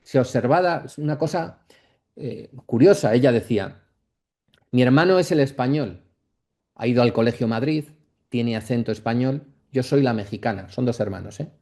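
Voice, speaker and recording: Mellow-voiced man lecturing. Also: mid-range voice. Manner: reflective, halting, frequent check-in tags. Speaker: academic lecturer. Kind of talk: masterclass lecture, speaking off the cuff.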